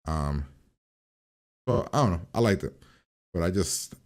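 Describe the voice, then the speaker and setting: A man's voice: low-pitched, lively intonation, measured pace. A man hosting a reaction video, giving a casual, informal review and rambling as he repeats and revises his points.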